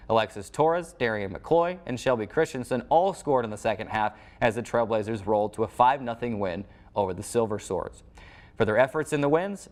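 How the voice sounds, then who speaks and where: An American man hosting a sports show, reading a scripted recap of scores and highlights as an announcer.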